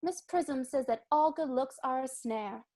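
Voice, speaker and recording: A woman's voice: high voice, a little slow, a little mellow. A woman playing a prim, innocent and frank young society girl in a dramatic reading of a stage comedy. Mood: innocently prim.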